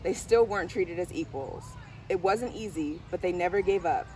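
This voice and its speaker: A woman's voice: lowish voice, moderate pace, a little mellow, soft background music. Middle-aged woman reading aloud, distant outdoor mic. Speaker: school diversity director reading aloud. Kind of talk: picture book read-aloud. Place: outdoors on a garden bench under trees. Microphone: camera a few steps away. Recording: distant camera mic, outdoor background noise.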